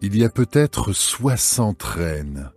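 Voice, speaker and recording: An adoring, solemn lover, played by a man, declaims in a dramatized scripture reading. The voice is very low and very slow, each phrase measured, with music behind it. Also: very animated, darkish tone, exaggerated voice. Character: adoring royal lover praising his beloved, solemn.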